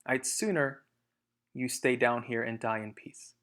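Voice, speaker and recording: A man's voice: mid-range voice, measured pace, a bit bright, lightly acted. A man voicing a worried, devoted mother fox in a children's storybook, anxious yet firm. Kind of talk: children's storybook read-aloud.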